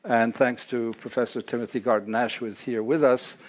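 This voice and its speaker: A man hosting a conference panel, introducing speakers with many hesitant pauses. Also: medium pitch, steady tone. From Serbia. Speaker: conference host. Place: lecture hall or library.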